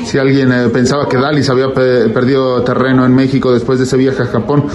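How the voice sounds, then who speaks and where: A man's voice: medium pitch, flat delivery, fairly quick, echoey room. A man, a reporter putting remarks to an athlete after a match. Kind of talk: post-match press questioning. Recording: crowd chatter behind.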